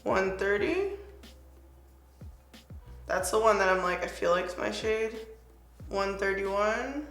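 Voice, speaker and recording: Raspy young woman, gravelly, bright tone, echoey room, casual beauty chat. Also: mid-range voice, slow, long pauses, soft background music. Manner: chatty, excited, filler-heavy. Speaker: beauty vlogger. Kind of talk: casual product review.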